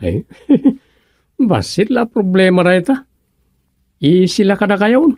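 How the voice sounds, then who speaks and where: High-voiced, curious village official played by an actor. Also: male voice, very animated, slow pace, a little mellow, a little hiss or hum, full character voice. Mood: amused. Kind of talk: comedy radio drama acting.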